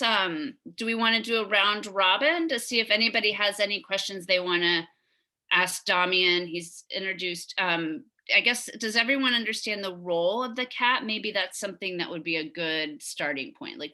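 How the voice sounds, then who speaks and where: A woman's voice: mid-range voice, moderate pace, bright, forceful tone. A woman chairing a neighborhood committee meeting on a video call, talking enthusiastically with many restarts. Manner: talkative, enthusiastic, trailing restarts. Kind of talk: virtual community meeting.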